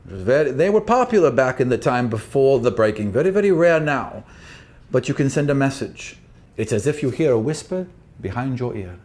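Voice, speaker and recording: A man voicing a big, older sea captain in a tabletop game, gruff but protective, with a folksy, fatherly manner. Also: mid-range voice, sing-song, brisk, a little mellow, natural voice. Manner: folksy, fatherly, calling the listener boy.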